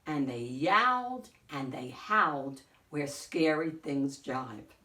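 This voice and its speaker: A woman reading a picture book aloud as host, in a deliberate, theatrical storytelling way. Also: sits low, lively intonation, bright, forceful tone, distant mic. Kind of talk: expressive picture-book narration. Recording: echoey room, off the mic.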